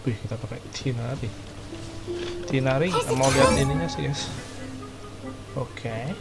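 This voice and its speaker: Creaky-voiced Indonesian man over loud game music. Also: mid-range voice, steady tone, normal speed. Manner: slangy, informal. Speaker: gaming video host. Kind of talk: casual gameplay commentary. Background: loud game music and effects.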